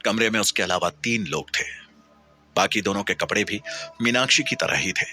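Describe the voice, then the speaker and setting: Bright, forceful man telling a dramatic story. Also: on the low side, moderate pace, soft background music. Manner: theatrical, suspenseful delivery. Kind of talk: dramatic scripted horror story narration.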